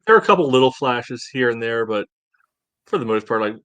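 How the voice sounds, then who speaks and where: A man co-hosting a sports podcast, talking casually in a halting, conversational way. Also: mid-range voice, expressive, a bit bright, dry recording. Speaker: podcast co-host.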